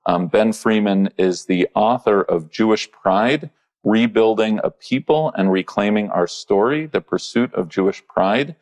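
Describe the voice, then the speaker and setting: A man, a rabbi, hosting a podcast interview, speaking in a halting way with many pauses, fillers and restarts. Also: fairly low pitch, a little mellow.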